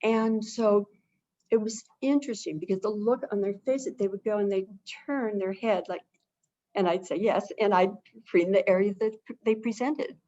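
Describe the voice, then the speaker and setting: A woman who is an avian behavior expert, explaining things calmly and gently as a webinar guest and repeating phrases for emphasis. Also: medium pitch, moderate pace. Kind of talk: casual explanatory talk. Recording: dull lo-fi webinar audio.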